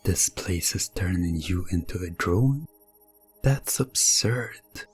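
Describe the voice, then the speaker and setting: Man, hypnosis ASMR narrator, deep-pitched, drawn-out delivery, commanding, deliberate, faint music underneath. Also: lively intonation, husky. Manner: slow, deliberate, commanding second-person delivery. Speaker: hypnosis ASMR role-play performer.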